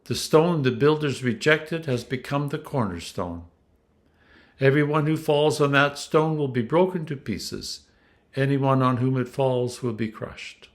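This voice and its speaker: A man voicing a calm, firm, shrewd male teacher in a scripture reading, measured and emphatic. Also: mid-range voice, close to an everyday voice. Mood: solemn.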